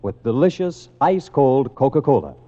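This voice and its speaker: A male radio pitchman delivers a scripted sponsor message in the 1950s manner. His reading is polished and measured, with widely moving intonation. The sound is dull and lo-fi, like an old broadcast. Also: very animated, warm tone. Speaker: radio advertisement announcer.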